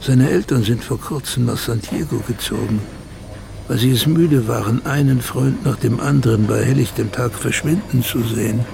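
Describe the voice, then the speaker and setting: A sly inner voice, heavily creaky and middle-pitched, comments in a mocking, insinuating way. It is radio drama, with clear background noise. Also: male voice, normal speed. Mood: dry, knowing. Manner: insinuating, sardonic. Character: sly, mocking male inner voice needling a woman.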